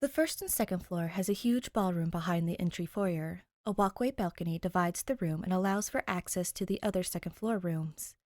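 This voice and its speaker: A woman hosting and reading fan fiction aloud in an informal, bubbly, expressive way. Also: lowish voice, a little fast, hardly any pauses, dry recording, studio quality. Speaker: host and reader of a fan fiction podcast. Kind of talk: casual intro chat and expressive fiction narration.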